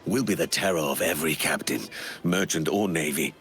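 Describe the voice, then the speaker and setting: An actor playing a grave, determined pirate leader in a video game. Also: male voice, a bass voice, brisk, fairly bright tone, a little hiss or hum. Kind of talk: video game cutscene acting.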